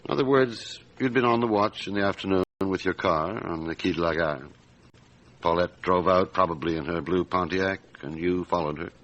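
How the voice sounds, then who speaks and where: An actor playing a middle-aged police inspector in a radio drama, quietly authoritative, with measured, clipped questioning. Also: male voice, sits low, muffled recording. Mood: probing, calm. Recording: noisy radio drama recording.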